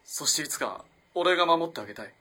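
Casting: male actor as lovestruck young student for a period radio drama; bright, slightly rough voice, earnest and halting. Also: a little high, animated. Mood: subdued, wistful. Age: young adult. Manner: earnest, halting, swinging between hushed and impassioned. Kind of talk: dramatic radio play acting.

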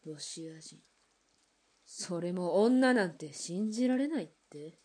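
A woman's voice: lively intonation, slow pace, mellow, breathy edge, exaggerated voice. A woman playing a defiant Russian ex-officer in a radio drama, blunt and challenging. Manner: blunt, challenging, low and clipped. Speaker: former Russian imperial soldier. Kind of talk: hobby radio drama acting.

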